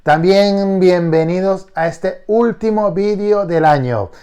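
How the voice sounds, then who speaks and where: A man's voice: high-pitched, slow pace, warm tone, clean tone. A man hosting a casual collector vlog, nasal and congested from a cold, enthusiastic and often restarting his sentences. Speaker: collector vlogger.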